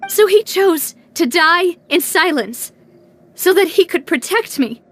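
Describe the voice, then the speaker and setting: High-voiced, proud young noblewoman. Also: expressive, measured pace, a bit bright, exaggerated voice. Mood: shaken. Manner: emphatic, emotional, well-spoken. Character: proud, determined young noblewoman, wounded but resolute. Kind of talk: dramatic video game cutscene acting.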